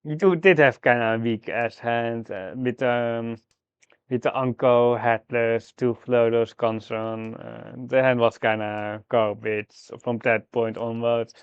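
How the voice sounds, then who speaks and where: Man, game streamer, thinking aloud, slow pace, halting delivery, slightly muffled dry recording. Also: medium pitch, steady tone, clean tone, no echo. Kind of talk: live mahjong gameplay commentary, thinking aloud.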